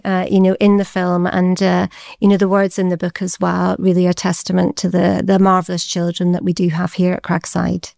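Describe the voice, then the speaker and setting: A Scottish woman in her forties speaks with a smooth, resonant voice. She answers questions chattily, with plenty of ums and restarts. The sound is dry and close. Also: medium pitch, no echo. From Scotland. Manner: chatty, warm-hearted, with many fillers. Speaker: deputy head teacher. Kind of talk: interview answers.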